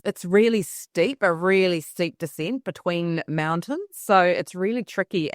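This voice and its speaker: A woman from New Zealand with a softened New Zealand accent, co-hosting a travel podcast in a relaxed, chatty way. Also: sits low, no room sound, studio quality. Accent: New Zealand, softened by years in Australia. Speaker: podcast co-host. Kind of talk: casual chat.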